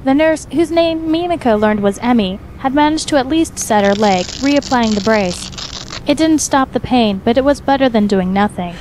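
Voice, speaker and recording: A woman's voice: on the high side, animated, normal speed, a little mellow, a little hiss or hum, rough recording. A woman reading scripted fan fiction as the narrator.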